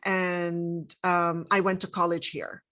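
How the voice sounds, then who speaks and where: A woman in her fifties, born in Lebanon with Arabic as her first language, telling her life story as a podcast guest in a reflective way, with many pauses and self-corrections. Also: lowish voice, little pitch movement, pushed and bright. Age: 50s.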